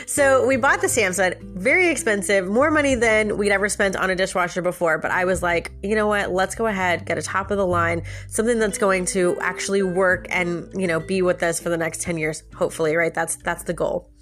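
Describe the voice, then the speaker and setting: A woman's voice: lowish voice, a little fast, fairly bright tone, faint music behind. A woman hosting a podcast with a stuffy, nasal voice, telling a personal story in a chatty, laughing way straight to her listeners. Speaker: podcast host.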